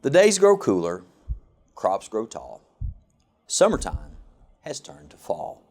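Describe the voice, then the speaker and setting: A man reading a children's picture book aloud with an expressive, sing-song rhyming delivery. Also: on the low side, takes it slowly. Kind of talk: storybook read-aloud.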